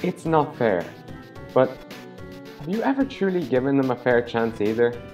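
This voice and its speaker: A man playing a plain-spoken, sympathetic but blunt mediator, reasoning in a measured way in a fan comic dub. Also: medium pitch, very animated, darkish tone, faint music behind, natural voice. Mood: sympathetic, frank.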